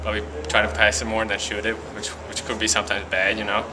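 A young man from Latvia with a Latvian accent, a college hockey player giving a halting post-game interview full of fillers and restarts in an arena hallway. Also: fairly low pitch, completely flat delivery, noisy background. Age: young adult.

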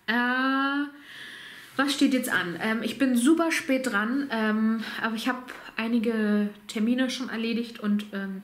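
A woman in her thirties with a slight cold, chatting frankly to camera in a home vlog, full of ums and asides. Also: a little high. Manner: chatty, frank, self-deprecating with filler ums. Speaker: vlogger. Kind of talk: casual vlog chat. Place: home, small room indoors.

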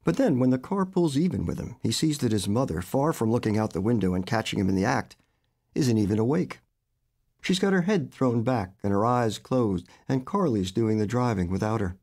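A man in his seventies, an author reading his own short story aloud in a measured, expressive way. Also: medium pitch. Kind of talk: literary fiction reading.

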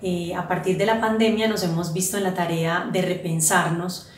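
Flat-toned woman, echoey room. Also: on the low side, moderate pace, slight background noise. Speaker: travel agency representative. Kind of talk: promotional presentation. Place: indoor room.

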